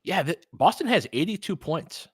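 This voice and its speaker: A man's voice: a little high, sing-song, normal speed, slightly rough, no room sound, studio quality. A man doing casual live basketball commentary and chatting with viewers, informal and slangy with some swearing. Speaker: host of a fan watch-along.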